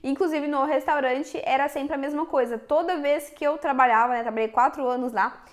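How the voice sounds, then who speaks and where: A young Brazilian woman with a Minas Gerais accent and a high, bright-sounding voice. She talks frankly to her viewers in a relaxed vlog, addressing them directly the whole time. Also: brisk. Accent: Minas Gerais Portuguese. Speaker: vlogger. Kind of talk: casual chat to camera.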